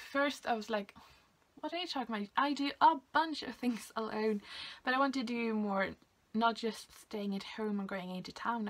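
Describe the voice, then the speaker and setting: A young woman from Sweden with a Swedish accent, chatting casually and hesitantly through a craft album, with many fillers. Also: mid-range voice. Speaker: craft vlogger. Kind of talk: casual show-and-tell.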